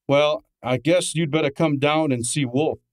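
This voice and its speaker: An actor playing a brash, wisecracking detective's assistant in an old-time radio drama, exasperated and sardonic. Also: male voice, fairly high pitch, moderate pace, no room sound, natural voice. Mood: businesslike, pressing. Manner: exasperated, sardonic, hard-boiled.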